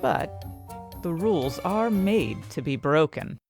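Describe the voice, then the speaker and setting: An earnest, slightly anxious woman chemist in a fantasy audio drama. Her voice sits on the low side and moves around in lively swings, confiding and expressive, with music underneath. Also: slow pace, exaggerated voice. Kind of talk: first-person scripted narration in fantasy audio drama.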